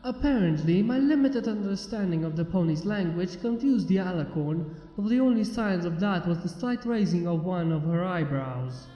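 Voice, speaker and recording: A man's voice: high voice, animated, normal speed, hardly any pauses, dark tone. A man reading a scripted story as a fan fiction narrator. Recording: muffled, echoey long reverb under music. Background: music.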